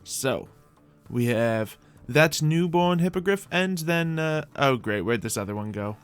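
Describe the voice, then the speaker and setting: A man's voice: on the high side, expressive, unhurried, clear, resonant tone, faint music behind. A man hosting a gaming video, giving playful, chatty roleplay commentary that rambles along and often addresses the game's creatures directly.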